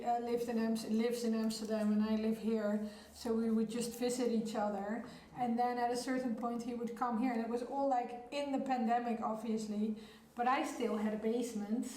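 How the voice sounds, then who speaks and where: A woman's voice: fairly high pitch, mellow. A woman who works as a DJ and club founder, chatting casually in an interview. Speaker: DJ, club founder and label owner being interviewed. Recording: echoey room with faint background noise.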